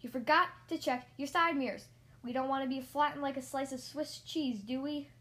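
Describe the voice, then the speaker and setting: A woman's voice: a little high, moderate pace, close to an everyday voice. A woman voicing a bossy, strict male rodent driving instructor in a storybook, barking commands and scolding. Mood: shouting, urgent.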